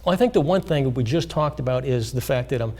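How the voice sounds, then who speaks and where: A man being interviewed as a financial planner, explaining in a measured way with frequent pauses and fillers. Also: mid-range voice, lively intonation, darkish tone. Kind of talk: interview answers. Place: high school TV studio.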